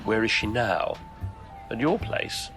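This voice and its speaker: Male supporting role: businesslike sci-fi drama agent, clipped probing questions, lively inflection, music behind. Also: on the low side, slow pace. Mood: surprised, probing. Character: businesslike male agency colleague, probing and skeptical. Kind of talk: audio drama dialogue.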